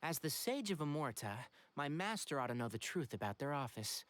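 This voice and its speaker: A man's voice: fairly high pitch, big pitch swings, a little fast, fairly bright tone, dry recording. A man playing a composed, courteous young scholar in a video game, earnest and decisive. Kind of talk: scripted game voice acting.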